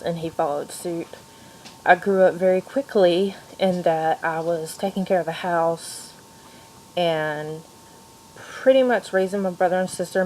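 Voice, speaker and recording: A woman of thirty from Kentucky with a mixed Southern accent, quietly introducing herself at home late at night, with many ums. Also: on the low side, slight background noise, low-quality recording. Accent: mixed Kentucky and Georgia Southern. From Louisville, Kentucky. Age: 30s. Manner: soft-spoken, frequent ums. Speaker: student vlogger. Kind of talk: personal introduction video.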